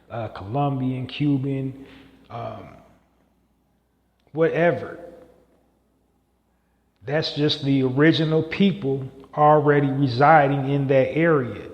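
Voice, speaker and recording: A man hosting a podcast solo, talking in a loose, rambling way with many fillers and restarts. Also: medium pitch. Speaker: podcast host. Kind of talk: casual solo monologue. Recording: echoey room with long reverb.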